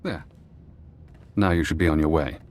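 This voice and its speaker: Imprisoned intelligence analyst, low male voice, measured, cryptic, quietly paranoid game character. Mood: calculating. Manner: measured, cool, cryptic instructions. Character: paranoid, calculating imprisoned former spy analyst, adult man. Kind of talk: acted video game dialogue.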